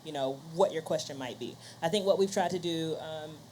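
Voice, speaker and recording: Female podcast host, lower register, conversational panel talk with quoted asides, faint event ambience. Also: fairly quick, darkish tone, a little hiss or hum.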